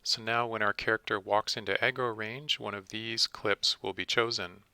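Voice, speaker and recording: A man presenting a software tutorial, explaining each step methodically in a close-miked voice-over. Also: fairly low pitch, steady tone, normal speed, clean tone, dry recording. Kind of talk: step-by-step screen tutorial narration.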